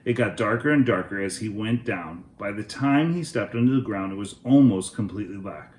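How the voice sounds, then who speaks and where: A man hosting a children's read-aloud, narrating a storybook in a deliberate, expressive way. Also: lowish voice, echoey room.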